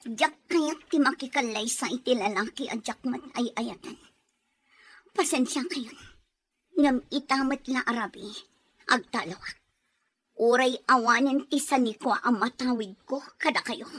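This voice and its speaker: Casting: earnest, stubborn young woman, Ilocano, raised pitch, slightly husky, radio comedy. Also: measured pace, a bit bright. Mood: defiant, sad. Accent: Filipino, Ilocano. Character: headstrong, sorrowful young daughter refusing arranged marriage.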